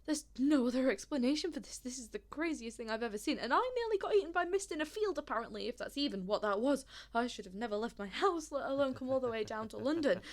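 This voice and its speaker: A woman's voice: medium pitch, expressive, normal speed, creaky, a little mellow. A woman playing an indignant, sarcastic young witness in an audio drama, speaking in a colloquial, exasperated way. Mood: flustered, bewildered. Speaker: voice actor in a fan audio drama. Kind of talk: audio drama acting, conversational monologue.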